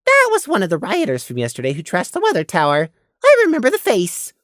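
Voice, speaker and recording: Small, observant male creature, played by a man: raised, lilting pitch, polite conversational brightness, fantasy story. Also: huge pitch swings, fast talker, dry recording, studio quality, exaggerated voice. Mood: matter-of-fact.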